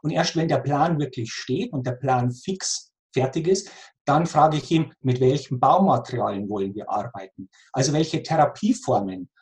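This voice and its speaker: A man in his forties with a Lower Bavarian accent, explaining his work conversationally and earnestly as an interview guest, repeating phrases for emphasis. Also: moderate pace, a little mellow. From Lower Bavaria, Germany. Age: 40s.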